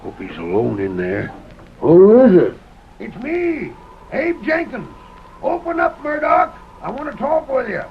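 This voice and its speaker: Bitter, stubborn American frontier miner, very gravelly, slightly high voice, old radio drama. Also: male voice, wildly swooping pitch, a little slow, heavily acted. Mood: grim, brooding, then determined. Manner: grim, stubborn rustic talk. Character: desperate, bitter poor Western miner and family man. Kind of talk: 1930s radio Western acting. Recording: dull, noisy 1930s radio recording.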